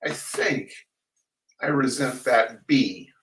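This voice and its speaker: A man reading humorous essays aloud in a deliberate, theatrical way. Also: mid-range voice, lively intonation, fairly bright tone, slight background noise. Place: echoey room, recorded at a distance from the mic. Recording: echoey room, distant microphone.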